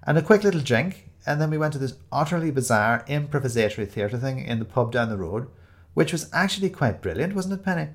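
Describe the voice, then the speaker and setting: A man's voice: mid-range voice, lively intonation, fairly quick, voice pushed up, lightly acted. A man voicing a chatty, bossy young woman who gushes in breezy, emphatic run-on chatter for an audio storybook. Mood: breezy, enthusiastic. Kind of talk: audio storybook character dialogue.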